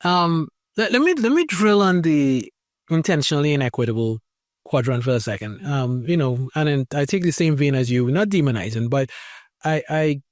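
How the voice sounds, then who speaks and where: A man's voice: a little high, expressive, normal speed, no echo, clean studio sound. Thoughtful man from Trinidad, podcast host. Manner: thoughtful, hesitant restarts. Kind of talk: conversational interview.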